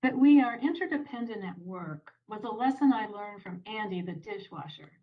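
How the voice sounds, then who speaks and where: A woman's voice: mid-range voice, expressive, normal speed. A woman reading her own written story as scripted narration in a podcast recording. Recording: slightly dull podcast playback.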